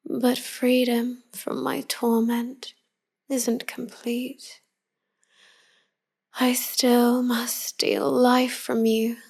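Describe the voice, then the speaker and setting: A woman acts out a devoted vampire wife murmuring to her human lover at night in a roleplay audio. Her voice is soft and breathy, often dropping into a whisper, with a creaky edge. She speaks slowly and confidingly, tender and full of melancholy. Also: a little high, exaggerated voice. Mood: tender, guilty. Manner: slow, hushed, confiding. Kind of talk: intimate whispered pillow-talk roleplay audio.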